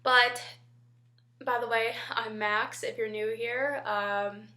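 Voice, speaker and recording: A woman's voice: fairly high pitch, fairly even intonation, slow pace, bright, forceful tone, roomy sound. Stuffy-nosed chatty young woman vlogger. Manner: chatty and rambling, many ums. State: stuffy nose from a head cold. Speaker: beauty vlogger. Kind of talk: casual makeup chat to camera.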